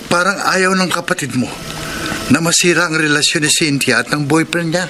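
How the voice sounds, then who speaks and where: A man's voice: on the high side, moderate pace, background noise, close to an everyday voice. A man acting in a Filipino radio drama as an older driver, servile to his boss and scornfully blunt to others. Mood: deferential, conspiratorial.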